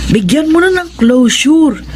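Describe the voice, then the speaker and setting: A man's voice: very high voice, sing-song, a little slow, noisy background, full character voice. A man playing a protective, blunt young son in a Filipino radio drama. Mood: indignant, urging.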